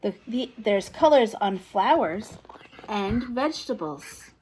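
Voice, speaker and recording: Female toddler storyteller: unhurried, highly animated, questioning delivery, with some background noise. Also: low-quality recording. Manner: sing-song encouraging questions to young children. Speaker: children's teacher reading storybook aloud. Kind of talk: interactive picture-book read-aloud for toddlers.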